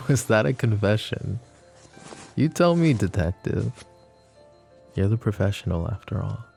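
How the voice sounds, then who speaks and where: A man voicing a smug, flirtatious mafia boss who teases and taunts the woman questioning him, in soft-spoken roleplay ASMR. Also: fairly low pitch, animated, unhurried, gravelly, slightly rough, faint music behind, exaggerated voice. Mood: smug teasing. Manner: teasing, taunting, calls her pet names.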